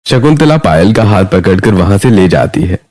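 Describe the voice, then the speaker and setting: उतार-चढ़ाव वाला पुरुष कथावाचक. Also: male voice, low-pitched, expressive, normal speed, a little mellow. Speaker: narrator. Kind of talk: scripted Hindi story narration.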